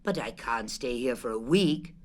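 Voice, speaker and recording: A woman voicing a gentle, slow, hungry male teddy bear in a storybook reading, speaking softly and plaintively, sometimes close to a whisper. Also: rumbling low, expressive, voice pushed down. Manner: plaintive and mild.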